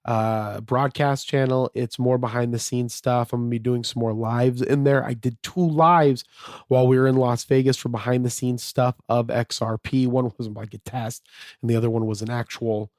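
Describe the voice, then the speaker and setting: A man hosting his own podcast, talking casually and a bit slightly raspy from a fever, with lots of fillers and restarts. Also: mid-range voice, fairly even intonation, moderate pace, darkish tone, no room sound, studio quality. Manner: rambling, many fillers and restarts. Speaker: podcast host. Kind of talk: casual solo podcast monologue.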